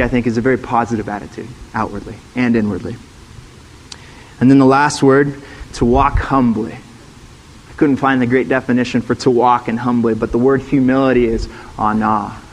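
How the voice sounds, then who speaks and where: A man's voice: mid-range voice, poor audio. A middle-aged man, a former pastor, giving a sermon as a guest speaker, teaching in a conversational way and putting questions to the room.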